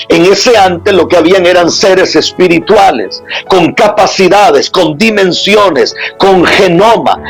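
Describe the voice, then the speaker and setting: A man's voice: high voice, gravelly, slightly rough, low-quality recording. A man preaching a sermon as an apostle, emphatic and driving, stacking up repeated rhetorical lists.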